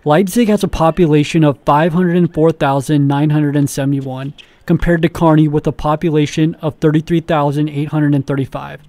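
A man reading a scripted news voice-over as a student reporter, steady and measured. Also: on the high side, no echo.

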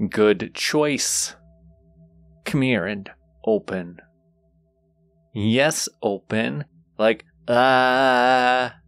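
A man's voice: medium pitch, drawn-out words, pauses often, dry recording, soft background music. A man playing a teasing, flirtatious, gleefully wicked demon suitor in an intimate audio roleplay, drawling seductively to the listener. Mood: pleased, seductive. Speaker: voice actor.

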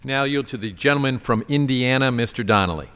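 A man's voice: medium pitch, brisk, a bit bright, smooth. A man presiding over a legislature, making formal procedural announcements. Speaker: presiding legislator yielding the floor. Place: large legislative chamber. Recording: long hall reverb, chamber microphone.

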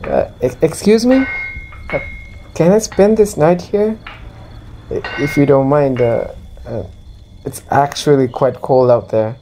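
A woman playing a poor, anxious male vagabond who sells rat traps, speaking haltingly in an amateur radio drama. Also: deep voice, dark tone, slight background noise. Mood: timid, pleading. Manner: hesitant, halting.

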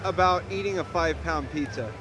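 A man's voice: on the high side, brisk. A man off camera casually asking fairgoers questions outdoors at a county fair. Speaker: off-camera interviewer. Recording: fair crowd and music behind.

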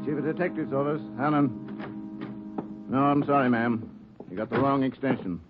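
A man playing a brisk desk officer. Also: medium pitch, over music, natural voice. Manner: brisk, routine. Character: male desk officer answering the phones. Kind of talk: 1940s radio drama acting. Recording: phone-like old broadcast with hum.

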